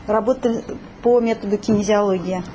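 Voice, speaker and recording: A woman leading a group session, guiding the talk in a brisk way. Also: medium pitch, fairly even intonation, dark tone, slight background noise, low-quality recording. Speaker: group leader.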